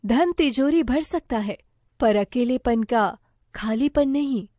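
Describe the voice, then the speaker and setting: A woman's voice: a little high, slow pace, dark tone, no echo, studio quality. A woman reading a scripted moral story as its narrator.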